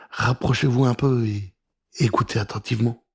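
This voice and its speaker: A man's voice: medium pitch, fairly even intonation, slow pace, breathy edge, voice pushed down, exaggerated voice. Man playing a theatrical, jolly eccentric old professor. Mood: mysterious. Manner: theatrical, riddling delivery.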